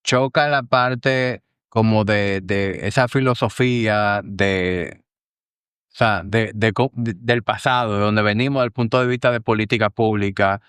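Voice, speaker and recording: A man's voice: fairly low pitch, steady tone, unhurried, a bit bright, clear, resonant tone, no echo, studio quality. A man with a Dominican accent hosting a podcast, chatting casually with slangy, conversational phrasing. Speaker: podcast host. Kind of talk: casual interview chat.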